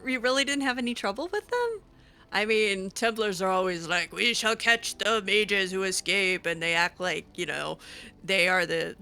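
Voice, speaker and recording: A woman's voice: lowish voice, bright, forceful tone. A woman role-playing her character over a video game, improvising in a hesitant, informal, conversational way.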